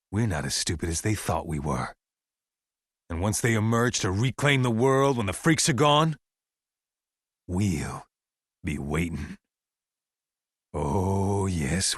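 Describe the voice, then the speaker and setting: Paranoid american survivalist boss from a video game, low husky voice, ominous folksy conspiracy preaching, defiant. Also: male voice, a bit bright, no room sound, clean studio sound, full character voice. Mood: bitter, scornful. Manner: emphatic, folksy, ominous preaching with weighty pauses. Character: paranoid, defiant male survivalist camp leader broadcasting conspiracy rants.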